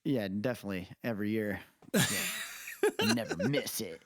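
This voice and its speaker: A man's voice: lowish voice, moderate pace, no room sound, studio quality. A man co-hosting a podcast, talking casually and opinionatedly about music in a rambling, storytelling way. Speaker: podcast co-host.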